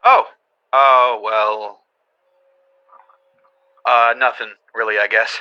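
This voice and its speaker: An actor as a halting, gentle older brother on the phone. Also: male voice, mid-range voice, steady tone, clean tone, highs cut off, natural voice. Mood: gentle, awkward. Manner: halting, stuttering. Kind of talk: radio drama acting.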